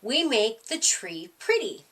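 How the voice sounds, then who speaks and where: Woman as a high, eager little mouse. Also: very animated, takes it slowly, fairly bright tone, far from the mic, full character voice. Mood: modest, explaining. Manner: eager, explaining in short sentences. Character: small, modest, knowing, cheerful female jumping mouse.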